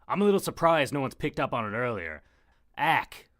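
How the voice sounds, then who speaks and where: A man playing an indignant, sarcastic reporter husband in a comedic audio drama, melodramatic and quick to wisecrack. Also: mid-range voice, sing-song, fairly bright tone, no echo, studio quality. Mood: defensive, bitter.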